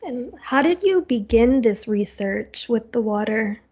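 A woman hosting a podcast, who asks questions in a friendly, relaxed way with filler words. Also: fairly high pitch, a little slow, husky. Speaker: podcast host. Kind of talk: casual podcast interview. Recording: dull lo-fi sound.

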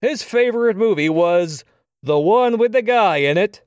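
A man playing a villainous game show host in an audio drama, with drawn-out, teasing showman patter. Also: sits high, lively intonation, clear, resonant tone, dry recording, studio quality. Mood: gleeful, teasing. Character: showboating male villain game show host, toying with captives.

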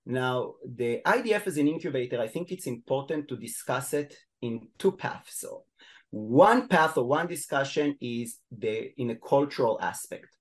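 A man with an Israeli accent talks as a guest in a podcast interview. He explains at length, pausing on ums and uhs and restarting his sentences. His pitch sits in the middle range for a man. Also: expressive. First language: Hebrew. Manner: explanatory, many fillers and restarts. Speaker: guest expert.

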